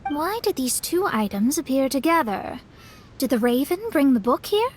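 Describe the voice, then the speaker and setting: Poised, high-voiced young game heroine. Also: female voice, very animated, moderate pace. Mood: curious. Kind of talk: video game character dialogue. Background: music.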